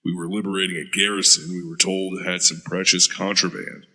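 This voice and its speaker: A man's voice: low voice, flat delivery, fairly quick, bright, forceful tone, dry recording, exaggerated voice. A man playing a hardened veteran soldier who talks in a blunt, profane way. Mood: gruff, recounting. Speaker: interrogated war veteran. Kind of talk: audio drama acting.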